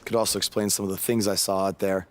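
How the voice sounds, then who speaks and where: A man's voice: low-pitched, little pitch movement, quick pace, lightly acted. A man playing a determined, wry, battered but defiant pro wrestler in a video game cutscene, with some noise behind him. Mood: sore, weary.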